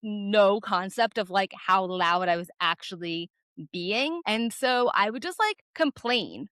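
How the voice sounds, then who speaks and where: A woman in her forties with a naturally loud voice, frankly telling a personal story on her vlog with lots of casual fillers. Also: medium pitch, animated, slow, smooth, dry recording, studio quality. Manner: chatty, frank, many "like" fillers. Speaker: vlogger.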